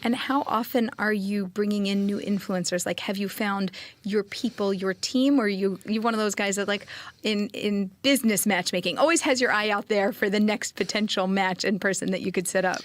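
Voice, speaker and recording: A woman's voice: mid-range voice, normal speed. A woman in her late forties hosting a casual interview, chatty, often chiming in on what her guest says. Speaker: interview host, matchmaker.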